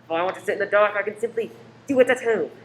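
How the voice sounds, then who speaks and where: Radio play role: disgruntled female patron woman acting, brisk complaints, roomy and slightly noisy. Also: medium pitch, lightly acted. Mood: indignant. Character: indignant, grumbling cinema patron demanding a refund. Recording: echoey room, faint background noise.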